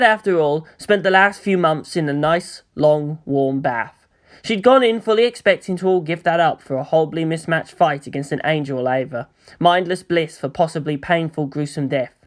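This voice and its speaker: A narrator reading fan fiction aloud, changing pitch and pace for emphasis and voicing the characters.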